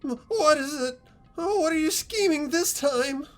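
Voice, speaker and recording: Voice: sits high, expressive, unhurried, soft background music. A performer voicing an earnest, hesitant ordinary teenage boy who narrates and speaks in a fan-dubbed visual novel. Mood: puzzled.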